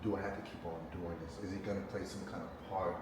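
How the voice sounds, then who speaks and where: A man in his thirties hosting a casual interview, animated and slangy, laughing often. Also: deep voice, quick pace. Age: 30s. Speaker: host. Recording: echoey room, far from mic, noisy.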